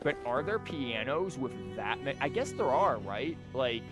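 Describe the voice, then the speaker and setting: A male game streamer talks casually at a middle pitch. He rambles through joking asides and reads on-screen dialogue as he goes. Game music is clearly audible behind his voice. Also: big pitch swings, normal speed. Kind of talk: casual live game commentary, reading dialogue aloud.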